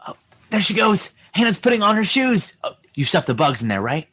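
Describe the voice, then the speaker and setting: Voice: medium pitch, sing-song, brisk, fairly bright tone. A performer playing a flustered, bossy schoolboy in a comedy radio play, hesitant and defensive. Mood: sneaky, impatient.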